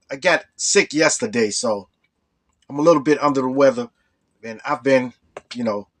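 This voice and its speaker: A hoarse man with a cold telling a rambling personal story as the host of a storytelling channel. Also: mid-range voice, fairly bright tone. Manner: rambling, full of "you know". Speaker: storytelling vlogger. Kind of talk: casual storytelling.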